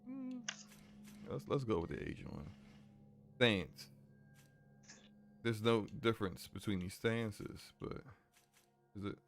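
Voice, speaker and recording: Deep-voiced man streaming games. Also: huge pitch swings, takes it slowly, vocal fry, long pauses, faint music behind. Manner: flustered, talks himself through tech trouble. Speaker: game streamer. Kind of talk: casual live-stream chat while setting up a game.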